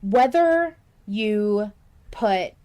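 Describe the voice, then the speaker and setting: A woman's voice: mid-range voice, lively intonation, very slow, warm tone, clean tone. A woman hosting a podcast in casual discussion, thinking aloud and hedging her opinions as she goes. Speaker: podcast host.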